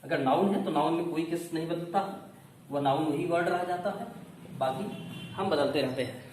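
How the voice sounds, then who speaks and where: A male teacher explains in an instructive, step-by-step way. His voice sits high for a man. It comes from a distance in a very echoey room, with faint noise behind it. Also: normal speed, a little mellow. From India. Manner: instructive, asks questions and answers them himself. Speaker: English grammar coaching teacher. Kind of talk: classroom lesson, explaining step by step.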